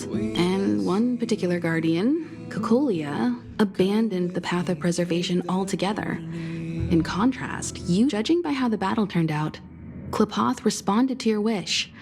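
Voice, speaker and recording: A woman voicing a calm, caring guide in a video game, speaking in a composed, articulate and reassuring way. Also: medium pitch, close to an everyday voice. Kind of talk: scripted video game dialogue. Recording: music behind the voice.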